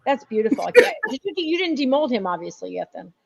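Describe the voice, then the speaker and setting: A woman's voice: on the high side, sing-song, fast, vocal fry, husky, dry recording. A woman in her late fifties, a guest on a live craft stream, chatting over a video call with frequent laughs and agreeing replies. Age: 50s. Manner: warm agreeing backchannels, laughs often. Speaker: guest resin artist. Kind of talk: casual live-stream chat.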